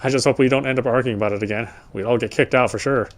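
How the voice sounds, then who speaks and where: A man's voice: medium pitch, steady tone, rapid-fire. A man doing a let's play, reading game text aloud and adding casual, sardonic commentary. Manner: sardonic, informal. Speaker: let's player.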